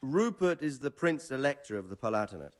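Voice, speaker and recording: A knowledgeable older nobleman in a medieval video game, played by a man. He explains in a measured manner at a middling pitch, with a melody that rises and falls freely. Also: sing-song, natural voice. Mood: measured, explanatory. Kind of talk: video game dramatic dialogue.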